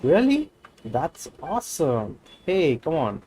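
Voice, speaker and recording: A man's voice: on the high side, huge pitch swings, takes it slowly, mellow, a little hiss or hum, voice pushed up, heavily acted. A man voicing a small, eager, childlike female fairy companion from a video game. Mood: excited. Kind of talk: reading video game dialogue aloud in character. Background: game music.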